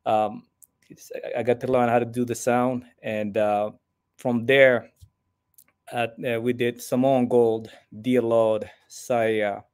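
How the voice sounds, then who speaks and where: A man from Somalia, guest on a video-call interview, talking haltingly with many fillers. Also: medium pitch, level tone, darkish tone, clear, resonant tone. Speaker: guest filmmaker. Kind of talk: casual interview. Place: home room.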